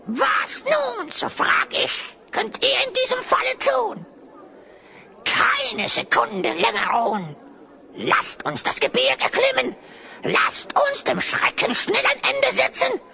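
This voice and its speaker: An actor playing a rabble-rousing orator, declaiming in theatrical rhyme in an audio drama for children. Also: male voice, very high voice, creaky, pushed and bright, full character voice. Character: rabble-rousing male orator whipping up an angry crowd.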